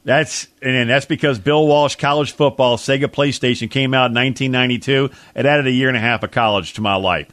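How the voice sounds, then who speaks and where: A man's voice: medium pitch, a little fast, hardly any pauses, fairly bright tone, no echo. A man co-hosting a sports radio show, talking casually in a colorful, slangy and hyperbolic way. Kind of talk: casual sports talk and betting picks.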